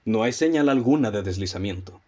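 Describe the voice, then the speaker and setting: Radio drama detective, male actor: lowish Mexican voice, composed, analytical, formal delivery, dull lo-fi sound. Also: lively intonation, darkish tone, breathy edge. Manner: formal, measured, explanatory. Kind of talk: radio play acting. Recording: dull lo-fi audio with music bed.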